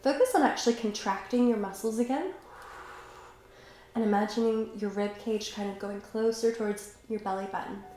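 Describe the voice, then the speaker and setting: A young woman with a slight cold, calmly and encouragingly guiding a Pilates workout while she does the moves herself. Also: fairly high pitch, moderate pace. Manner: calm, encouraging, talks while exercising. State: has a cold, slightly stuffy. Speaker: pilates instructor. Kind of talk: guided workout instruction. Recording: very echoey room, faint background noise.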